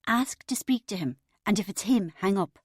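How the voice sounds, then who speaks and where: A woman's voice: medium pitch, animated, normal speed, slightly rough, no echo, studio quality. A woman voicing a lovelorn, bossy young woman, anguished and urgent, in an audiobook.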